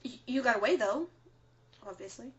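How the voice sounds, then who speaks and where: Woman playing earnest resistance broadcaster, highish voice. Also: lively intonation, moderate pace, dull audio, loud music behind the voice, close to an everyday voice. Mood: concerned, curious. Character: earnest, dutiful female resistance broadcaster, quick to bristle. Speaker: resistance radio co-host. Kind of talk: audio drama as underground radio broadcast.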